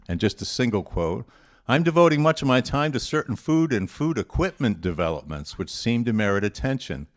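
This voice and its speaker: A man presenting a quote quiz, reading quotations aloud and chatting informally with a group in a deliberate, conversational way. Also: lowish voice.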